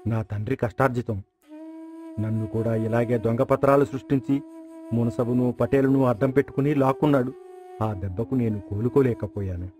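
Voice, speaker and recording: A man's voice: medium pitch, a little fast, warm tone, faint music behind, natural voice. A man voicing an embittered, shrewd and weary old grandfather in an acted village story. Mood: weary, bitter. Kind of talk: acted moral story dialogue.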